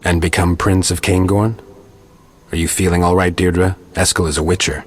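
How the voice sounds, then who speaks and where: A stoic, gruff monster hunter from a fantasy game. The voice is very low and heavily rasped, the delivery terse and deadpan. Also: male voice, moderate pace. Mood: blunt, questioning. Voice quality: gravelly, husky. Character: gruff, stoic male monster-hunting witcher. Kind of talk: video game dialogue acting.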